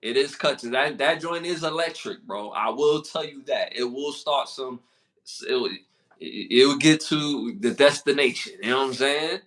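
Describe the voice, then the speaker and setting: Brooklyn man, podcast co-host, bright edgy tone, slangy and repetitive. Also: mid-range voice, normal speed. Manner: slangy, repeats himself, reads out list questions. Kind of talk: casual podcast chat.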